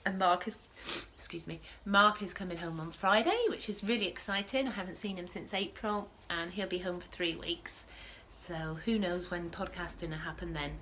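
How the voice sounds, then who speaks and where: A woman's voice: lowish voice, fairly even intonation, moderate pace, few pauses, sharp tone, slight background noise, poor audio. A woman hosting a casual knitting podcast from home, sniffling with a starting cold and rambling with frequent self-corrections. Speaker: podcast host.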